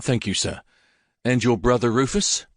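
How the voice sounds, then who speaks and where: A man voicing a calm, courteous police inspector who speaks in a measured way. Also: medium pitch, no echo, clean studio sound, lightly acted.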